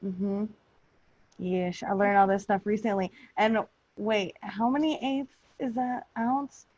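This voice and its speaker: A woman's voice: mid-range voice, fairly even intonation, slow pace, darkish tone, no room sound. A woman with a mid-range voice talks casually, slangy and blunt. Her recording is very dry and a little dulled. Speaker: podcast cast member. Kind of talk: casual chat. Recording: slightly muffled, lo-fi audio.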